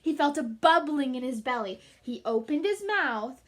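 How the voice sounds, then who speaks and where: A woman reading a story aloud to children, emphatic and animated, with chatty asides. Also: sits high, normal speed. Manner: animated, emphatic, addressing young listeners.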